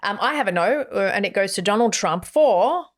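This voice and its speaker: An Australian woman co-hosting a podcast in casual chat, with a dry, wry and measured delivery. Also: medium pitch, lively intonation. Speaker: podcast co-host.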